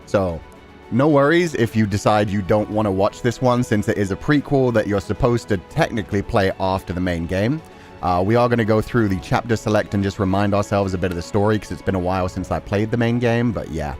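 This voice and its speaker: A man's voice: lowish voice, a little fast, a little mellow. A man hosting a game livestream, chatting casually and enthusiastically to his viewers in a rambling way. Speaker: game streamer, host. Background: music.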